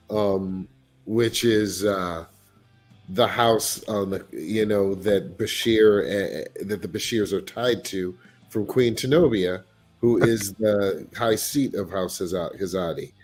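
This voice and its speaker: A man's voice: on the low side, slow pace, faint music behind. A man in a casual fan discussion, explaining his points earnestly and at length.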